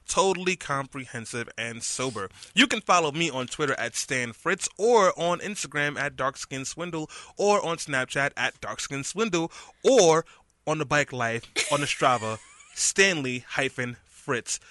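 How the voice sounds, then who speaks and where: A young man working as the radio show's engineer and co-host. His voice is bright with a mid pitch, and he delivers deadpan teasing jokes. He talks loosely into a studio microphone. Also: normal speed. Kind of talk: casual radio talk show banter. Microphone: studio microphone with headphones.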